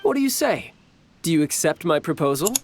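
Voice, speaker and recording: A man's voice: on the high side, big pitch swings, brisk, noisy background. A man voicing a cocky, friendly young warrior in a video game, with a teasing, confident manner. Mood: warm, playful. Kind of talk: video game character voice lines.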